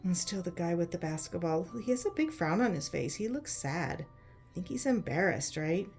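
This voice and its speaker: A woman narrating a picture book to children in an expressive, conversational way, using simple explanations and checking in with questions. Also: fairly low pitch, moderate pace, soft background music. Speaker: children's read-aloud host.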